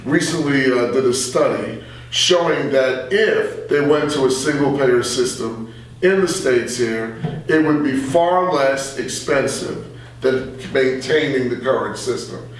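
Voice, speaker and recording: A man's voice: medium pitch, measured pace. An older man chatting casually about his views, opinionated and given to anecdote. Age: older, 60s or above. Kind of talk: casual political discussion. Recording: echoey room, distant from mic.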